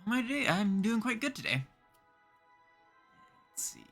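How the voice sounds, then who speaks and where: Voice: mid-range voice, big pitch swings, moderate pace, vocal fry, a bit bright, soft background music. A streamer from Canada giving casual live video game commentary, chatting and thinking aloud in short remarks.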